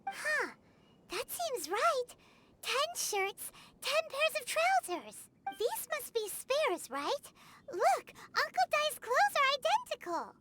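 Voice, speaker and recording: A woman voicing a tiny, excitable, girlish companion creature in a video game, nosy and quick to exclaim. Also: very high-pitched, very noisy, heavily acted. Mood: chirpy. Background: game music.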